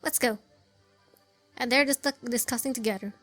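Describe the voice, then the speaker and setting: High-voiced woman casually reacting to a game. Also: moderate pace, fairly bright tone. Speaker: gamer commenting on a playthrough. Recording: game music playing underneath.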